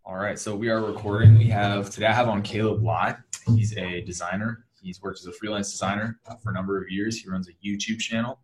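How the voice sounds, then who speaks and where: A man hosting an interview, asking questions in a relaxed way with short acknowledgements. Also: fairly low pitch, a little fast, a little hiss or hum, low-quality recording. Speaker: podcast host.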